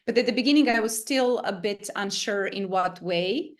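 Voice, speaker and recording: A woman's voice: medium pitch, normal speed. A woman in her thirties from Serbia, answering interview questions with frequent hesitations and fillers. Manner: hesitant, many ums. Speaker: guest coach and trainer.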